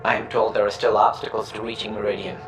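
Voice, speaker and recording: A man's voice: fairly low pitch, flat delivery, fast talker, natural voice. A man playing a dignified alien official in a video game, with formal, precise, stately phrasing. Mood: formal, conciliatory. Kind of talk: video game dialogue acting. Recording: roomy echo with music underneath.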